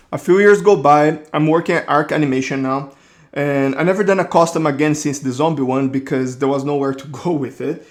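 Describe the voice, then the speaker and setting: Brazilian accent, man, thirties, somewhat high pitch, casual rambling narration. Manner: relaxed, rambling storytelling with restarts. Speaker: creature designer, channel host.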